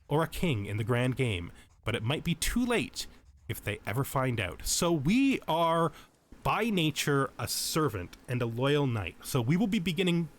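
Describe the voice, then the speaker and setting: A man giving tutorial-style game commentary and reading lore aloud in a measured, explanatory, storytelling way. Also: mid-range voice. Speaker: let's play host.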